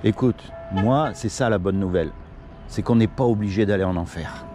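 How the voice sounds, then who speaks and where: A man's voice: lowish voice, normal speed, dark tone, faint music behind. A man from Morocco doing casual one-on-one street preaching, earnest and pleading, full of everyday examples. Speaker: street evangelist. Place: outdoors on a city street.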